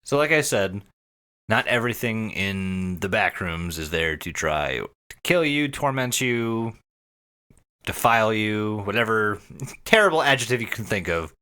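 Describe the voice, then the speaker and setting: A man talks in a casual, joking podcast style with a bright, forceful edge. His pitch sits a little low but moves around a lot, and he swears easily as he goes. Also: animated, unhurried, clean tone. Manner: profane, joking. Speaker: podcast co-host. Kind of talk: casual comedic podcast chat.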